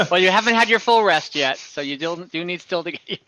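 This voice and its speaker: A man's voice: sits high, animated, brisk, sharp tone, low-quality recording. A man improvising narration as game master of an online tabletop game, easygoing and loose with the rules. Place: online voice call, dry close sound.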